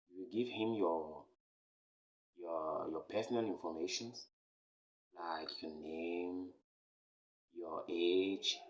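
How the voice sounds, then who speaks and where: Slow, deep-voiced Cameroonian man teaching. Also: fairly bright tone, muffled recording. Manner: repeats key phrases slowly and emphatically. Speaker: French teacher, channel host. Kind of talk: slow, repetitive beginner language lesson.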